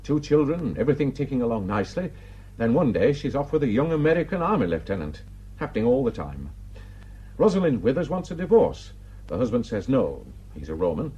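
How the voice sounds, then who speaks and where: A British actor playing a nosy, needling journalist in a radio drama, sly and mocking in a theatrical way. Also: male voice, mid-range voice, big pitch swings, a little fast, warm tone, slightly rough, highs cut off. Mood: sly relish. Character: needling, nosy male agricultural journalist, smug and insinuating.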